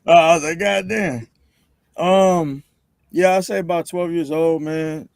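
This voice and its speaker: A man's voice: on the high side, fairly even intonation, measured pace, vocal fry, no echo, clean studio sound. A man in his thirties chatting casually and telling stories as a podcast guest, swearing freely and using lots of slang. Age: 30s. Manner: slangy, swearing, peppers talk with "bro" and "you know". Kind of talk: casual chat.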